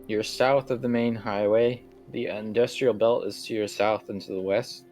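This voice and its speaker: A man game-mastering a tabletop role-playing game, narrating off the cuff in a halting, conversational way. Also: fairly low pitch, steady tone, darkish tone, soft background music.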